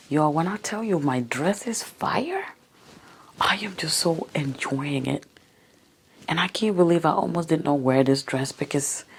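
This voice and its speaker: A tired woman vlogger talks casually to the camera in front of her mirror at home. Her voice sits low and is a little worn. She keeps sliding into soft, half-whispered asides. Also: slight background noise. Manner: chatty, drops into soft near-whispers.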